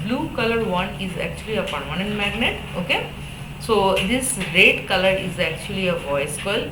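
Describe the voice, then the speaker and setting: Woman, Indian accent, electronics lecturer, halting explanatory delivery, mid-pitched voice, echoey room, background noise. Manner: halting, with frequent repetition and okay tags.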